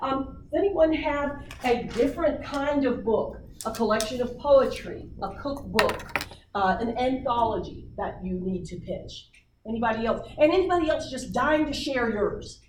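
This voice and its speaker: A woman leading a writing workshop, coaching people on their book pitches with many fillers. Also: lively intonation, normal speed, a little hiss or hum. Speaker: workshop presenter. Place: meeting room. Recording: roomy distant audience recording.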